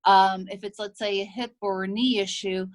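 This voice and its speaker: Woman chiropractor giving a casual health talk, keeps asking make sense, mid pitch voice. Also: steady tone, normal speed. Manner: conversational, checks in with "make sense?"; acts out patients' remarks in quotes. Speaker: chiropractor and health practice owner. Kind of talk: presentation to an association.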